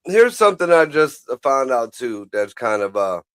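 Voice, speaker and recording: A man's voice: medium pitch, big pitch swings, moderate pace, a bit bright, clean tone, no echo, studio quality. A man chatting casually about politics as a show host, blunt, profane and rambling.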